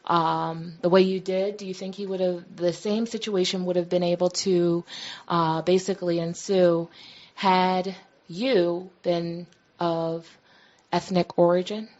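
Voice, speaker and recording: Lower-pitched woman, slightly dull audio. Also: flat delivery, unhurried, darkish tone. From United States. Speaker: panelist questioning a guest. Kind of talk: polite, deliberate questioning on radio.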